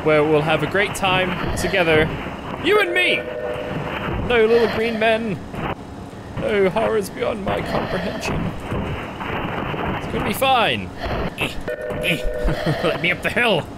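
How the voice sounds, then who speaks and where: High-pitched young man, lively game commentary. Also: takes it slowly, long pauses. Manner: jokey, nervous muttering reactions. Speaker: gaming video host. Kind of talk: casual let's-play commentary. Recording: clear game noise behind the voice. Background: game ambience and radio chatter.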